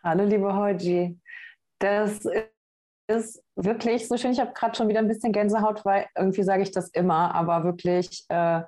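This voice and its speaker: A woman's voice: mid-range voice, fairly even intonation, normal speed, warm tone. Chatty young woman podcast host. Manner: chatty, enthusiastic, many fillers. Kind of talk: casual podcast chat.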